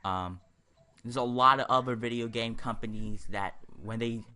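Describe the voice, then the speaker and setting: A man's voice: mid-range voice, moderate pace, pushed and bright. A man giving casual commentary over a video game walkthrough, rambling and hesitant, often repeating himself. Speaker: gaming video host.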